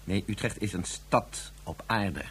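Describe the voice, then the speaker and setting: A man playing an evasive, flustered father in a radio drama, speaking hesitantly and placatingly in a husky voice. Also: low voice, measured pace, a bit bright, heavily acted. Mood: firm, impatient. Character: evasive, flustered father absorbed in his work.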